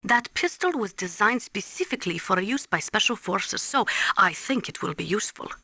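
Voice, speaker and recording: A woman playing a tough, earnest Ukrainian nuclear weapons analyst in a video game, speaking deliberately and instructively with a Ukrainian accent. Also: medium pitch, lively intonation, pushed and bright, breathy edge, no echo, studio quality, heavily acted. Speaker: military analyst and nuclear specialist. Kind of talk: scripted game voice acting, briefing.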